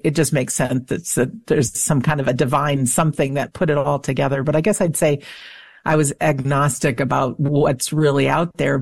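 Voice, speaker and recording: Low-pitched, creaky middle-aged woman, earnest guest. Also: no room sound, studio quality. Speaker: interview guest, afterlife show host and author. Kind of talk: conversational radio interview, personal storytelling.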